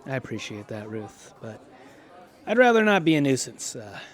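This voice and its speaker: A man's voice: mid-range voice, big pitch swings, moderate pace, a faint crowd, natural voice. An actor playing an earnest, proud but polite male outcast farmer with a hesitant manner in a sci-fi radio drama. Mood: proud, resigned.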